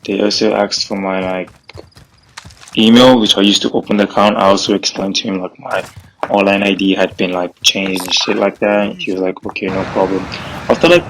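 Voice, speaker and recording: Young man, very low voice, flat delivery, rambling vlog story, faint hiss behind. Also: a little fast, rough recording. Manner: rambling, fillers like "bro" and "like". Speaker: gaming vlogger.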